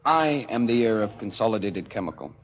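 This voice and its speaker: An actor playing a brash, sardonic young radical heir in a radio drama, with a mocking, insistent manner. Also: male voice, lowish voice, big pitch swings, a little fast. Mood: sardonic, intense. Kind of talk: 1970s radio drama acting. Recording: noisy 1970s radio drama recording.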